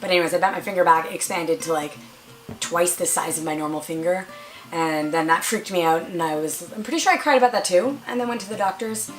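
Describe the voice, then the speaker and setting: A young woman with a raspy voice, chatting casually to camera as a vlogger and filling her stories with "like". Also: on the low side, quick pace, soft background music, low-quality recording. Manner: chatty, lots of "like" and quoted dialogue.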